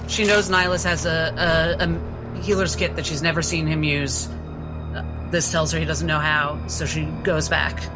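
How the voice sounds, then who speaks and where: A tabletop game player describing a character's actions in a hesitant, halting way. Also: medium pitch, little pitch movement, music in the background.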